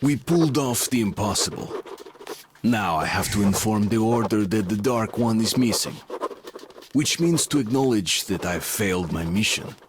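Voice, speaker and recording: An actor playing a serious soldier who narrates his mission in measured, reflective tones in a video game. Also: on the low side, animated, background noise, close to an everyday voice. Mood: reflective, sombre. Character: sombre soldier hero recounting his mission.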